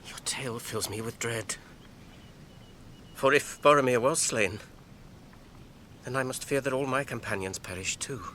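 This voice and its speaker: An actor playing a weary, fearful but determined hobbit on a doomed quest, earnest and anguished in a fantasy radio drama. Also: male voice, mid-range voice, measured pace, long pauses, bright, forceful tone, slight background noise. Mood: dread, weary grief.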